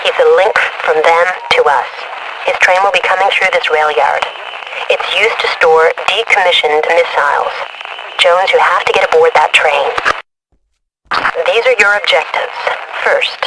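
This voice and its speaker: A woman playing a brisk, analytical military mission controller, giving scripted briefings in a game cutscene in a commanding, businesslike way. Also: lightly acted. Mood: urgent instruction. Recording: noisy, vehicle and ambient rumble behind.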